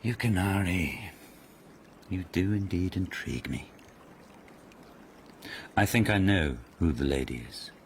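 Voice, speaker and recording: Deep-voiced haughty palace lord. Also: male voice, slight background noise. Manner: commanding, pompous, drawling scorn. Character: haughty, sardonic aristocratic palace lord, amused and imperious. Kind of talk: radio period mystery drama acting.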